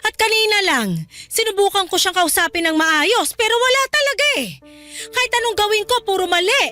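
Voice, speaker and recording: Worried, scolding middle-aged mother, Filipino accent, high voice, radio drama delivery over light music. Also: fairly quick, sharp tone. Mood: weary, stressed. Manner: emotive, pleading and scolding. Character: exasperated, worried middle-aged mother of a college-age son.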